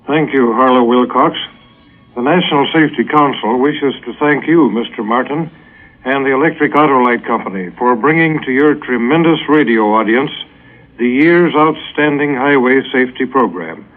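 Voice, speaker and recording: A man presenting an award in a formal, courteous, ceremonial manner. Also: medium pitch. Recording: tinny, phone-like old radio broadcast.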